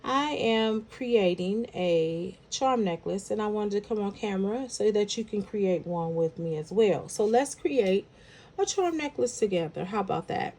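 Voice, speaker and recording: A woman's voice: mid-range voice, expressive, measured pace, a little mellow. A woman with a nasal, congested voice from a head cold, chatting casually as she walks viewers through making a necklace. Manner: chatty, thinking aloud while working. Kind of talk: casual craft tutorial talk.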